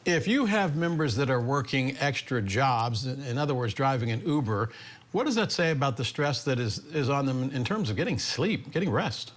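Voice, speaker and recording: A man's voice: medium pitch, big pitch swings, moderate pace. A man working as a reporter, putting direct, probing questions at a press event.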